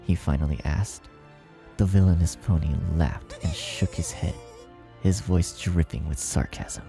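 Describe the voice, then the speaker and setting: A man's voice: low-pitched, wildly swooping pitch, measured pace, music in the background. A man reading a fan fiction story aloud as its narrator in a scripted style.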